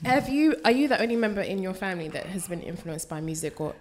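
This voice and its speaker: A woman co-hosting a casual interview, asking measured questions and stating firm opinions. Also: medium pitch, animated, background noise. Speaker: co-host.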